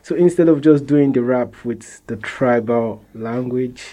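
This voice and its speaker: A young man with a Nigerian accent, answering interview questions in a relaxed way, often restarting phrases and laughing softly. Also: medium pitch, unhurried. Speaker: interviewee, musician.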